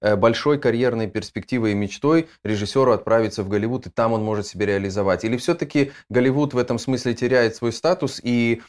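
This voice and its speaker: A man's voice: medium pitch, steady tone, quick pace, hardly any pauses. Inquisitive man hosting an interview. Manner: inquisitive, asks follow-up questions. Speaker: host.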